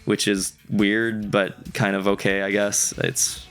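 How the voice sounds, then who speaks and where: A man's voice: on the low side, little pitch movement, slow pace, fairly bright tone, clear, resonant tone, faint music behind. A young man narrating a scripted video essay in a conversational, casually enthusiastic way, with casual asides.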